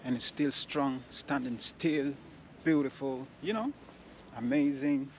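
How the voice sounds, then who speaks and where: A man with hosting a casual walking vlog, speaking in halting, repetitive phrases. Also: mid-range voice, darkish tone. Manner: repetitive, halting phrases with non-standard grammar. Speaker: vlogger and walking-tour host. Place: outdoors on a city street. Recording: outdoor street noise.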